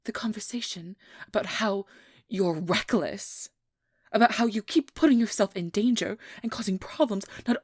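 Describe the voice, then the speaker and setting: A woman playing a determined, exasperated vampire hunter with a guarded caring side, speaking softly and often in whispers in an intimate ASMR audio roleplay. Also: medium pitch, no echo, studio quality. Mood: exasperated, scolding. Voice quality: soft, breathy, often whispered.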